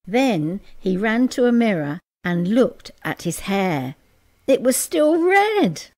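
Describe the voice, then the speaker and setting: A woman reading a children's story aloud in an expressive, dramatic and playful way. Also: medium pitch, slow pace. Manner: expressive, counts out words playfully. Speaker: picture-book reader.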